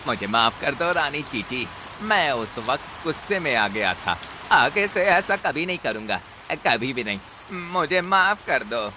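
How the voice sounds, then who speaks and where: A man's voice: medium pitch, big pitch swings, unhurried. A man voicing a hot-tempered forest animal who begs in a whining, pleading way, in a Hindi cartoon. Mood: remorseful. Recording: heavy rain noise behind.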